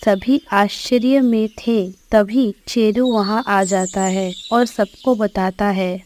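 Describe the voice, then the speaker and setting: A woman's voice: medium pitch, fairly even intonation, takes it slowly, a little mellow, a little hiss or hum. A woman narrating a scripted animal story for children as a storyteller.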